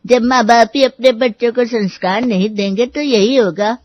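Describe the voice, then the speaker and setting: A woman voicing a melodramatic, fault-finding old grandmother with a sarcastic manner in an animated story. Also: on the high side, normal speed, no echo, highs cut off, lightly acted. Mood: scornful, grumbling. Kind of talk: Hindi animated moral story dialogue.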